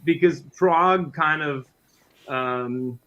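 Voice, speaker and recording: A man's voice: a little high, extremely slow, fairly bright tone, lo-fi sound. A man with a French Canadian accent, a native French speaker, chatting as a guest musician in a friendly, rambling way. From Quebec, Canada. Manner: friendly, chatty, often restarting phrases. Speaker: guest musician and guitar teacher. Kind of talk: casual interview chat.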